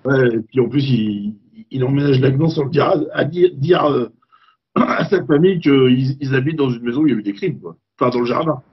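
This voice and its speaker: A man's voice: medium pitch, animated, brisk, husky. Blunt joking man chiming in. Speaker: film podcast co-host. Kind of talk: casual film discussion. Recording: dull lo-fi sound.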